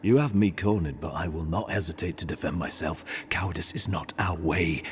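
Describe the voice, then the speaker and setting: A man's voice: low-pitched, moderate pace, a little hiss or hum, close to an everyday voice. A man playing a solemn, honorable assassin and devout zealot in a video game, speaking with grave, formal earnestness. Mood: grave, earnest. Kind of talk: dramatic video game dialogue. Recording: game music behind the voice. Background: music.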